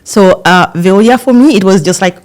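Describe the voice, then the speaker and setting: A woman's voice: medium pitch, normal speed, a little mellow. A woman from Senegal speaking as a panel guest, hesitant and full of fillers and restarts. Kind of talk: casual panel discussion.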